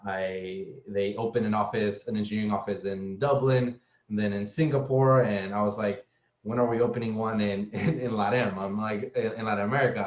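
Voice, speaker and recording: Halting young man from El Salvador. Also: on the low side, darkish tone, echoey room, lo-fi sound. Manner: halting, many repeated words and fillers. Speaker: guest speaker, software engineer. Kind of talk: casual panel talk.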